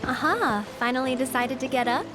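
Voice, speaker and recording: Actress as a high-voiced, perky young woman. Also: a little fast, lightly acted. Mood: playful. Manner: teasing, eager. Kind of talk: scripted video game dialogue. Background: loud music.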